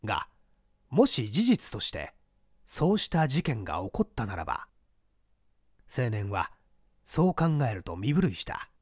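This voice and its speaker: A man narrating a mystery story in a deliberate, dramatic reading style. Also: lowish voice, huge pitch swings, darkish tone, breathy edge, no room sound, studio quality.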